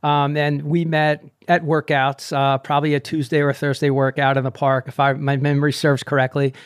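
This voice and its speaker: A man's voice: a little high, flat delivery, brisk, clean tone, no room sound, clean studio sound. A man in his sixties hosting a running podcast over a video call, chatty and upbeat. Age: 60s. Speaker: podcast host. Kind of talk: casual interview chat.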